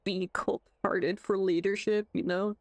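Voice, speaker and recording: A woman's voice: medium pitch, flat delivery, a little slow, no room sound. A woman streamer giving casual, playful, chatty live reactions as she plays a game.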